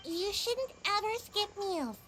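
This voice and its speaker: A woman voicing a small, curious, kindly young female companion in a video game, with a gentle, inquisitive manner. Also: very high-pitched, expressive, slow pace, slight background noise, exaggerated voice. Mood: caring.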